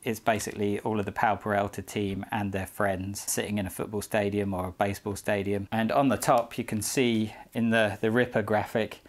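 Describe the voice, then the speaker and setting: A British man in his forties talking casually to camera as he shows a product in a room at home. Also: fairly low pitch. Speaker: skateboard collector vlogger. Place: small indoor room at home.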